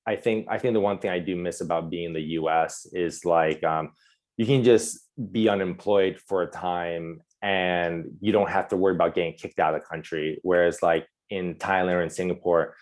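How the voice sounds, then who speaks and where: A young man speaking casually as a webinar panelist over a video call, halting with many fillers and restarts. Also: sits low, fairly even intonation.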